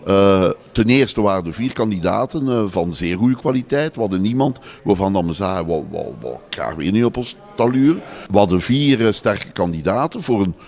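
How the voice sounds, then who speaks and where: An older man with a Flemish accent answers interview questions spontaneously, pausing often as he goes. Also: lowish voice, expressive, a little mellow. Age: older, around 60. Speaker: competition jury chairman, interviewee. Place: indoors in a panelled room. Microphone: handheld reporter microphone.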